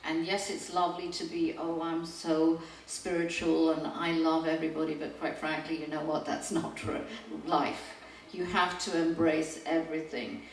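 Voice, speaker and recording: A woman's voice: low-pitched, little pitch movement, moderate pace. A woman spiritual teacher on a panel, giving frank and earnest advice. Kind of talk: panel discussion. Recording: echoey room, distant mic, faint noise.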